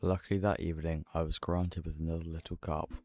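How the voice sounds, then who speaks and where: A man's voice: very deep voice, flat delivery, quick pace, very noisy. A man with a British accent talking casually as an angler making a fishing vlog. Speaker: angler and vlogger.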